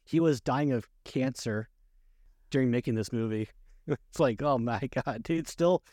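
A man co-hosting a casual movie chat, speaking haltingly, repeating words and often chuckling. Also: mid-range voice, darkish tone, no room sound, studio quality. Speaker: podcast co-host.

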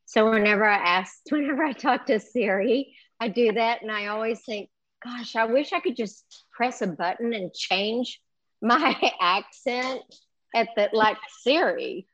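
A woman's voice: fairly high pitch, measured pace, a bit bright, dry recording, highs cut off. Effusive woman co-hosting a podcast. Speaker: podcast co-host. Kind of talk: casual podcast chat. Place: at home.

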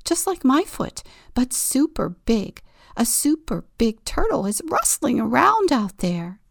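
A woman's voice: fairly high pitch, sing-song, a little slow, creaky, dry recording, studio quality, pitched above the performer's own voice, exaggerated voice. A woman voicing a wary male turtle who whispers anxiously. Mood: excited.

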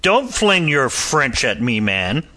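A man voicing a blustering English army captain with a heavy, hectoring tone, shouting and sneering. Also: mid-range voice, very animated, slow, pitched above the performer's own voice, exaggerated voice. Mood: scornful.

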